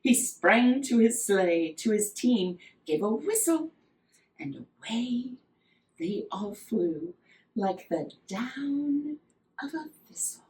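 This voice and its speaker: A woman telling a children's story as Mrs. Claus, reading expressively and deliberately with dramatic, emphatic pauses, recorded with the microphone at a distance. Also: a little high, big pitch swings, a little mellow. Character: Mrs. Claus, cheerful storyteller wife of Santa. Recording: roomy echo, distant microphone.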